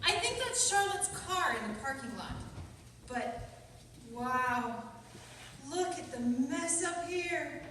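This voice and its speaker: A woman playing a prim, wide-eyed churchgoing woman with drawn-out delivery in a radio comedy. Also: high-pitched, expressive. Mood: astonished. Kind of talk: comedic stage radio play acting. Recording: noisy, distant, very echoey hall.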